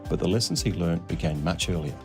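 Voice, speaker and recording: A man's voice: low voice, fast. A man hosting a show, reading polished scripted narration and asking guests questions. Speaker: host. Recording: music playing underneath.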